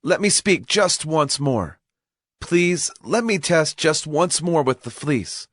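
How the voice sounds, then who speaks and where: An actor playing an earnest, emphatic Israelite war leader in a dramatized scripture production. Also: male voice, fairly high pitch, expressive, takes it slowly, fairly bright tone, dry recording, studio quality, heavily acted. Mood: humble pleading. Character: Gideon, earnest Israelite leader of fighting men.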